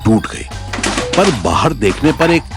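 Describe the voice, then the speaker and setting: Guy narrating a dramatic audio story, super animated, medium pitch, faint music behind. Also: measured pace.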